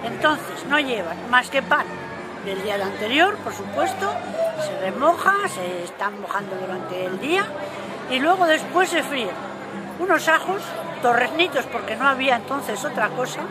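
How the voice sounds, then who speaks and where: This is an older woman. She explains casually and repeats phrases for emphasis. A loud crowd surrounds her in the open air. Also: a little high, sing-song, moderate pace, over loud music. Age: 60s or 70s. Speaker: cooking contest entrant. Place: outdoor town square. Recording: outdoor camera sound with loud crowd.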